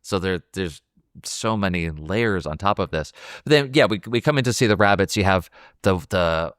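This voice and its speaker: A man's voice: sits low, moderate pace, a bit bright, clean tone, dry recording, clean studio sound. A man co-hosting a casual film discussion, describing things in a hesitant way as he thinks aloud. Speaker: podcast co-host.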